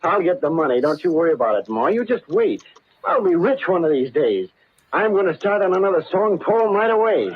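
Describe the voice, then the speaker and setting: High-voiced, earnest small-town lad. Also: male voice, wildly swooping pitch, fairly quick, a little hiss or hum. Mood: eager, reassuring. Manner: earnest, hesitant. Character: naive, hopeful small-town young male songwriter. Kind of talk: vintage radio drama acting. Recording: dull, lo-fi old radio recording.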